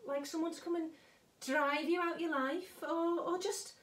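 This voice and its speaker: Woman doing a jaded hotel cleaner monologue, high voice, slow, blunt and wistful, echoey room. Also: steady tone. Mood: wistful, weary. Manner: conversational, blunt, musing. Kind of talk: stage monologue. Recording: roomy, echoing space.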